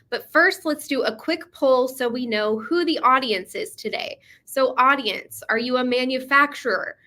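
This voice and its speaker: Bright-voiced woman moderating a webinar. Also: fairly high pitch. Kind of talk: scripted moderation.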